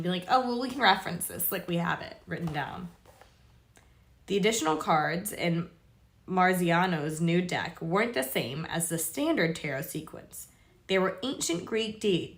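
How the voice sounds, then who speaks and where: A woman's voice: fairly low pitch, a bit bright. A woman hosting a casual read-aloud stream, informal and sweary, stumbling over longer words and dropping in chatty asides. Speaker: host reading aloud to viewers.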